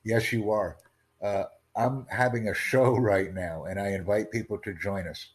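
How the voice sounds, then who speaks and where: Earnest low-voiced man pitching his course. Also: steady tone, normal speed. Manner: earnest, promotional, repeats himself. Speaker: language-learning channel host. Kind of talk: casual video chat with a stranger.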